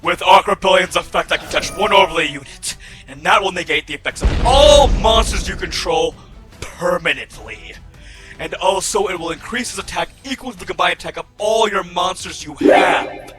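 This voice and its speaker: Fierce, high-pitched anime duelist man acting. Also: animated, moderate pace, over music. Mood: assured. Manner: theatrical, grandiose declarations. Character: fierce, righteous male card duelist rival. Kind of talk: fan roleplay anime-style duel acting.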